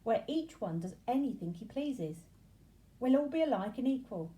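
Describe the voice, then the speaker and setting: A woman's voice: a little high, animated, moderate pace, dark tone, voice pushed up, close to an everyday voice. A woman voicing an earnest young male bee in a children's storybook, with an emphatic manner. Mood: eager, bossy. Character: earnest, anxious young male worker bee, bossy when crossed.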